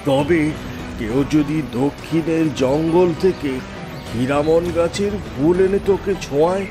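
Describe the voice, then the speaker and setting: A man's voice: fairly high pitch, slow. A man playing an old wizard in a cartoon fable, solemnly pronouncing blessings and curses. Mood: stern cursing. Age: older. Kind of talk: Bengali cartoon fable voice acting. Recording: loud music under the voice. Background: music.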